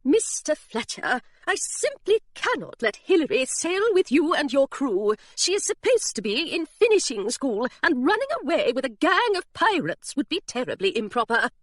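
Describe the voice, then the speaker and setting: A stern, prim governess, put on by a woman narrator, issuing high, clipped commands with lively swings in pitch. The recording is close and dry. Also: normal speed, hardly any pauses, dry recording. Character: stern prim female former governess, indignant.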